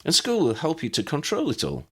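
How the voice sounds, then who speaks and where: A man's voice: a little high, wildly swooping pitch, a little fast, sharp tone, husky, voice pushed up, heavily acted. A man voicing a kindly adult woman in a storybook, soothing and encouraging in manner. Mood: reassuring.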